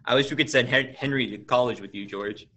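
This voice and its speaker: Casting: young man as decent, weary family man, medium pitch with a bright edge, radio play. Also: fairly quick. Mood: weary, gentle. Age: young adult. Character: tired, principled, kindly father and loan manager.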